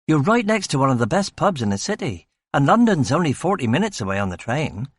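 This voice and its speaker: A man voicing an eager, talkative young male police constable, speaking in a brisk, breezy way. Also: on the high side, big pitch swings, no echo, clean studio sound.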